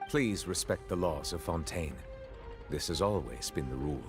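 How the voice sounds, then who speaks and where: A man playing a stern, composed chief judge in a video game, formal, grave and measured. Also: a bass voice, lively intonation, music in the background.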